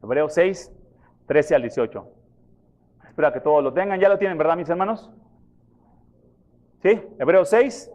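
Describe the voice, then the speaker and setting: A pastor preaching a sermon, questioning his congregation and retelling scripture in a lively way. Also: fairly high pitch. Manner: questions the congregation, repeats references for them. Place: church.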